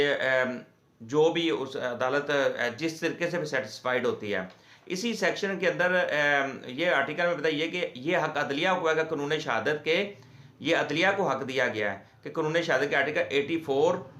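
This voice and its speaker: Male legal explainer, Pakistani accent, slightly high forceful voice, halting and repetitive delivery. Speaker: legal explainer, host of a law channel. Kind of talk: informal legal lecture, explaining to viewers. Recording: echoey, reverberant room sound.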